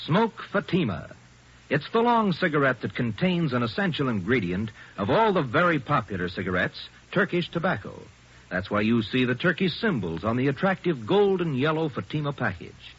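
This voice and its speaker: A man's voice: mid-range voice, big pitch swings, moderate pace, a bit bright, slightly rough. A man reading a 1940s radio commercial in an eager, punchy salesman delivery. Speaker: commercial announcer. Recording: muffled old radio broadcast.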